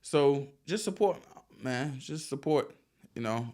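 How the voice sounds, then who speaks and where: A man's voice: medium pitch, animated, slow, dark tone. A man hosting a casual podcast, talking loosely with frequent swearing and laughter. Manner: loose, profane, laughs often. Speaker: podcast host. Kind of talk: casual chat.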